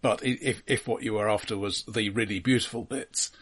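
A man with a husky, fairly low voice talks as a co-host in a casual film critique. His delivery is considered and analytical, with halting phrasing. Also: steady tone, fairly bright tone.

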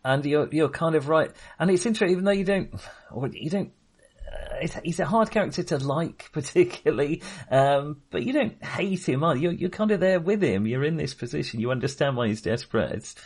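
A man's voice: on the high side, lively intonation, brisk, a little mellow, husky. A man co-hosting a film podcast, talking casually in a tentative, self-qualifying, agreeable way.

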